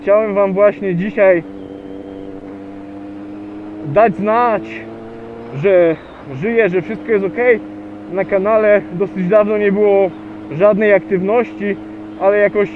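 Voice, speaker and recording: A man with a high voice talks casually into a helmet mic while he rides a motorcycle. He pauses often and fills the gaps with little hesitations. The sound is tinny and narrow, with faint engine and wind noise underneath. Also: steady tone. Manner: chatty, hesitant with many fillers. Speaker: motovlogger. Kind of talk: casual riding vlog narration. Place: on a motorcycle riding on a road outdoors.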